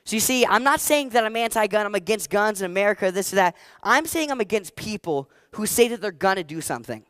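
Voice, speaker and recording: A fourteen-year-old boy giving a motivational talk on stage, energetic and emphatic, repeating his key phrases. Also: sits high, fast talker, clean tone, dry recording, clean studio sound. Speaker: motivational speaker. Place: stage before a live audience.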